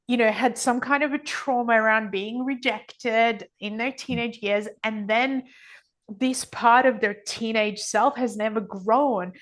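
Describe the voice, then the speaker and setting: A woman's voice: on the high side, fairly bright tone. A woman speaking as an interview guest, explaining her views at length in an earnest, emphatic way and repeating phrases for weight. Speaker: podcast guest, author and energy healer.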